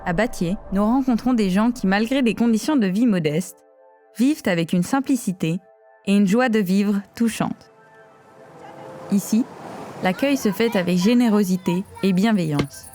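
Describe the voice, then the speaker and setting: A woman giving calm, scripted documentary narration as a voice-over. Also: mid-range voice, moderate pace, soft background music.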